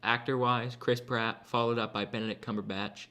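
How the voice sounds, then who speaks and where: A man's voice: medium pitch, level tone, normal speed, sharp tone. A young man in his late teens co-hosting a podcast among friends, chatty and eager to tell stories. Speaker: podcast co-host. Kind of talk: casual chat among friends.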